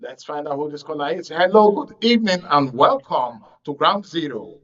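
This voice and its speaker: A man's voice: on the high side, animated, moderate pace, a bit bright. A man with a Nigerian accent presenting a live radio talk show as its host. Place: radio studio. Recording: distant, slightly muffled studio audio.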